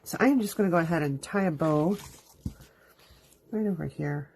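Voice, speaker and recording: A woman with a stuffy, sniffly nose from allergies talks through a card-making project step by step. She speaks in a chatty, easy way with a fairly low voice. Faint hiss and small clicks sit under her voice. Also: moderate pace, a little mellow. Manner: chatty, explains each step as she works. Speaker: crafting host. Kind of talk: live card-making demonstration. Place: home craft room. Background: dog dragging its bed around.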